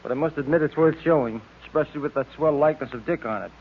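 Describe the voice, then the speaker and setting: An actor playing a hearty, plain-spoken police partner in an old radio drama, given to folksy exclamations. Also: male voice, a little high, very fast, breathy edge. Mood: good-humored. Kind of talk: 1940s radio drama acting. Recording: tinny old radio recording.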